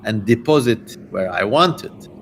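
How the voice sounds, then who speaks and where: A man's voice: on the low side, expressive, a little slow, a little hiss or hum, voice pushed down. A man voicing King Solomon, a solemn, commanding ancient monarch, in a declamatory dramatic reading of scripture. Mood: solemn, proud.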